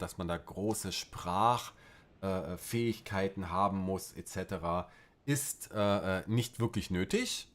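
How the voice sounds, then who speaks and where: Rambling man with a Berlin accent. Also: on the low side. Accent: Berlin German. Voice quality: rambling, filler-heavy, colloquial. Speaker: livestream host. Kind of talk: casual livestream chat.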